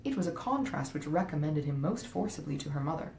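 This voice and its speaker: A woman's voice: lively intonation, fast talker, creaky, a little mellow. A woman reading a classic novel aloud as scripted literary narration. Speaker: audiobook reader, author reading a classic novel. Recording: roomy echo, faint rumbling noise.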